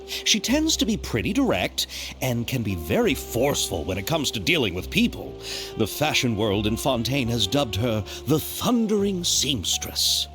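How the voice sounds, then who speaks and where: A man playing an earnest, bookish film festival organizer in a video game, politely explaining things and slightly flustered. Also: on the high side.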